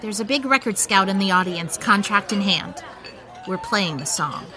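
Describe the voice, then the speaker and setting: An actress playing a headstrong, sharp-tongued young punk musician in an audio drama. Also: female voice, medium pitch, lively intonation, normal speed, over music, crowd noise, natural voice. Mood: challenging.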